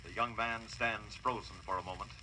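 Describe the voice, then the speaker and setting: A man's voice: on the low side, fairly even intonation, normal speed, very noisy. A man announcing and narrating an old-time radio crime drama in a solemn, theatrical scripted style. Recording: old radio broadcast with music.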